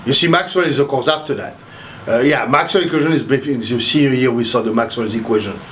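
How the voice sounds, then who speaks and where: A man's voice: mid-range voice, quick pace, fairly bright tone, a little hiss or hum. A man in his sixties from Haiti, speaking casually in an interview and rambling through his memories with many restarts. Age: 60s. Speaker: interviewee, math professor.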